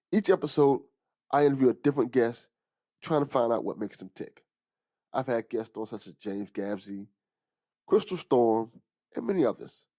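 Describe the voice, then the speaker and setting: Male podcast host, warm dark tone, average pitch, scripted promo read.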